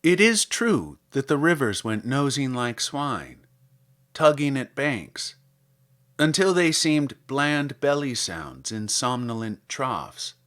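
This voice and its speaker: A man reading scripted literary material aloud as a podcast host, in a measured, articulate, theatrical manner. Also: mid-range voice, big pitch swings, a bit bright. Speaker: podcast host and poetry reader. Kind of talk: scripted literary introduction and poetry recitation.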